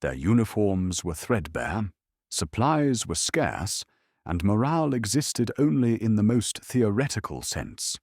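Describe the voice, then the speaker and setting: A man narrating a scripted history bedtime story in a calm, measured storytelling manner. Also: lowish voice, vocal fry, no room sound, studio quality.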